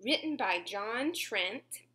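A woman in her twenties reading a picture book aloud at home, expressively and clearly phrased for young children. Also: fairly high pitch, animated, slow, pushed and bright. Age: 20s. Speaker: children's story reader. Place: small room at home, indoors.

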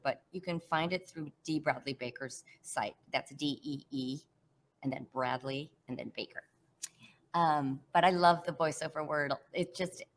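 Middle-aged actress, chatty and animated, slightly low voice, home video call. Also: female voice. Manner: chatty, warm, animated, laughs often. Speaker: actress answering fan questions. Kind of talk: casual virtual panel Q&A. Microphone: headset on video call.

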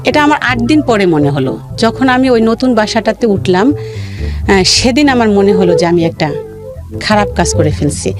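A woman with a Bangladeshi accent telling her own life story in an interview, in a halting, detailed way with repeated phrases. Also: medium pitch, lively intonation. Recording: music playing behind the voice. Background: background music.

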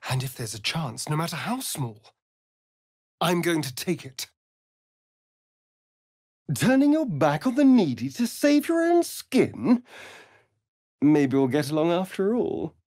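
Sly, ancient male vampire for a game: theatrical, flirtatious, slow drawl, very animated pitch, dry close recording. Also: no room sound, exaggerated voice. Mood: subdued, vulnerable. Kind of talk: video game character acting.

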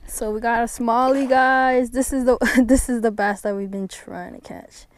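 A woman's voice: a little high, steady tone, moderate pace, smooth, no echo. A woman chatting casually to her viewers while fishing, laughing often, filmed on a head-mounted camera outdoors at night. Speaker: vlogger. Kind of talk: casual fishing vlog chat.

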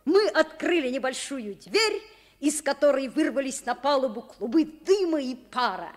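Animated, earnest schoolboy. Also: female voice, high-pitched, moderate pace. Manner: boyish, earnest. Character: schoolboy of about ten, would-be sailor, boastful but hesitant. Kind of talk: Soviet children's radio play acting.

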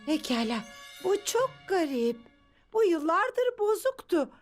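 High-voiced spry cartoon grandmother. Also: very animated, a little slow, soft background music, full character voice. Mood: intrigued. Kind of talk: animated children's cartoon dub.

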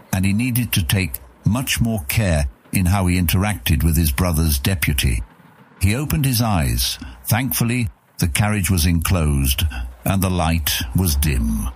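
Deep-voiced male audiobook narrator. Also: husky.

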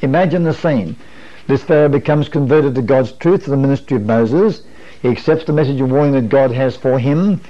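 A man's voice: fairly high pitch, warm tone. An older man leading a camp meeting Bible study in a wood-paneled room, asking his listeners questions and repeating their answers. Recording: dull lo-fi 1980s videotape.

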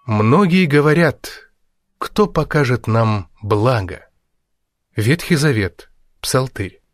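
Man, audiobook narrator, mid-pitched voice, measured deliberate phrasing, scripted nonfiction reading, very dry close sound. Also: animated, no echo.

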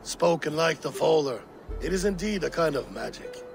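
An actor playing a weary, suspicious middle-aged Viking warlord in a video game, grave and brooding. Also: male voice, fairly high pitch, animated, vocal fry, over music. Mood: solemn. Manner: grave, brooding, deliberate phrasing. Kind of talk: dramatic video game acting.

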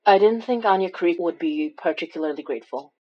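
A woman's voice: fairly low pitch, brisk, lo-fi sound, close to an everyday voice. A woman playing a wry, caring paranormal investigator who narrates a horror audio drama in an intimate, conversational way. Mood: reflective. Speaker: lead character and narrator.

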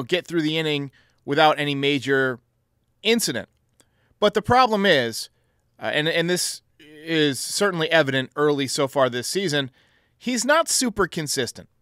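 Male sports show host, bright forceful delivery, clean close sound. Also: fairly high pitch, smooth, no room sound. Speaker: host of a baseball voicemail show. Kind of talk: sports commentary answering callers.

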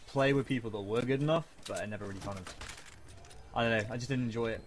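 Fast-talking lad gaming. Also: male voice, medium pitch, background noise. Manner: laid-back, swears, slangy banter. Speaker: streamer playing a shooter game. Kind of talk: casual gaming chat. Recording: game gunfire under the voice.

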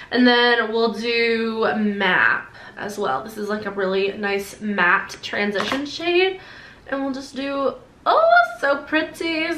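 Young woman beauty vlogger, nasal and a bit congested, bright tone, fairly high, echoey room. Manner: bubbly, gushing, quick asides. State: sore throat, congested. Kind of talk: casual product unboxing to camera. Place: decorated bedroom, indoors.

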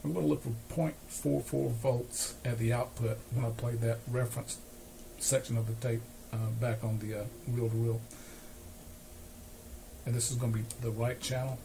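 A man giving an unscripted, hands-on repair demonstration, explaining step by step with hesitant pauses. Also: mid-range voice, level tone, vocal fry, dark tone, soft background music. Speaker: host, electronics repair demonstrator. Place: small cluttered indoor electronics workbench. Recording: noisy workshop recording with equipment sounds.

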